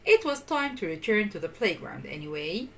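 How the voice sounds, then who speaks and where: A woman reading a children's story aloud in an expressive, theatrical and deliberate way. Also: fairly low pitch, creaky. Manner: animated, theatrical, deliberate delivery.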